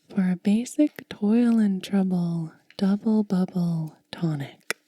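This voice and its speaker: Woman, ASMR whisper, airy and husky, lingering slow delivery, lowish pitch. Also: mellow, no room sound. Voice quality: breathy whisper. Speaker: ASMR host.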